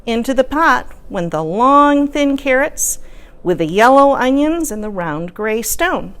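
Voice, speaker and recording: Female storyteller for a children's picture book: expressive, deliberate, widely varied pitch. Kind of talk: children's story read-aloud.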